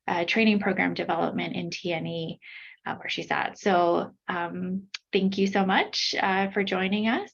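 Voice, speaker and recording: A woman's voice: mid-range voice, vocal fry, husky, lo-fi sound. A woman moderating an online webinar, giving housekeeping notes and introducing the speaker with many hesitant fillers, heard over a video call.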